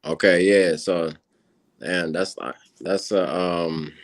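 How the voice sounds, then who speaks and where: Senegalese man in his 30s, Wolof native, deep and dry; easygoing guest, rambling. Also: slow, no echo. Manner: relaxed, rambling with many fillers. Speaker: guest musician. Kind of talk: casual interview chat.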